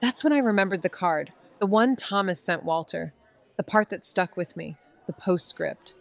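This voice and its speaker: An actress playing a wry, watchful young amateur sleuth in a noir audio drama, dry and understated. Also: female voice, lowish voice, expressive, moderate pace, vocal fry. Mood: pensive. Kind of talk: noir audio drama dialogue and first-person narration.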